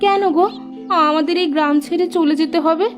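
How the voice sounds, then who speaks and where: A woman voicing a gentle, weary young village wife in a cartoon. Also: very high voice, steady tone, fairly quick, soft background music, pitched above the performer's own voice. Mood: worried, resigned.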